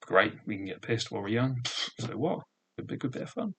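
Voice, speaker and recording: A man's voice: on the low side, sing-song, brisk, husky. A man in his thirties with a thick regional British accent, casually reminiscing in a rambling way. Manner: rambling, full of "sort of" and "um" fillers. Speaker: vlogger.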